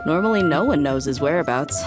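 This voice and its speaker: A woman's voice: low-pitched, lively intonation, brisk. An actress playing a composed, dependable young woman in a video game, speaking in a matter-of-fact way. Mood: composed, helpful. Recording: game music behind the voice.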